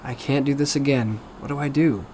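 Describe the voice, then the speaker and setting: A man playing a gentle, soft-hearted, doubtful Santa in an audio storybook. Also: medium pitch, fairly even intonation, fairly quick, noisy background, lightly acted. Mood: troubled. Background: music.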